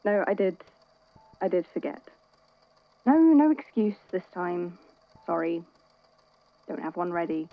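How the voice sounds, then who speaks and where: A woman playing a weary, caring friend in an audio drama, talking in a hesitant, conversational, gently wry way. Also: on the low side, very animated, a little slow, pauses often, no room sound, phone-quality sound. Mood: awkward, subdued. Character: worried, weary, caring friend at a hospital bedside. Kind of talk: naturalistic audio drama acting.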